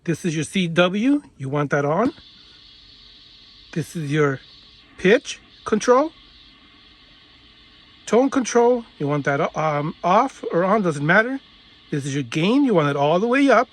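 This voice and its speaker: Higher-voiced man giving a hands-on tutorial. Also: big pitch swings. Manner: instructional, halting step-by-step phrasing. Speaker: tutorial presenter, radio hobbyist. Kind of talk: informal hands-on demonstration.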